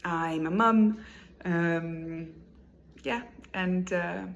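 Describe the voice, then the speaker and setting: Low-voiced, creaky middle-aged woman, hesitant. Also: muffled recording, a little hiss or hum. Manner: hesitant, reflective, pausing mid-thought. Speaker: job applicant, therapist. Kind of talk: informal recorded self-presentation for a job application.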